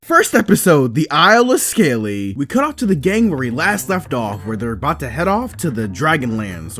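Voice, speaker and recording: Guy doing an exasperated cartoon review, sarcastic, deadpan then outbursts, voice jumps around a lot. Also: on the high side. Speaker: video reviewer, host.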